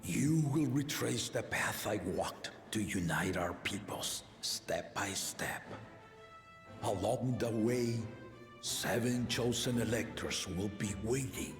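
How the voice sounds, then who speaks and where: An actor playing an aging, benevolent king in a video game, speaking in a measured, regal, solemn manner. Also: on the low side. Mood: solemn, commanding. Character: aging benevolent king and father, stately, fond of contests. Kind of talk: scripted video game voice acting. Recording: echoing hall reverb under game music.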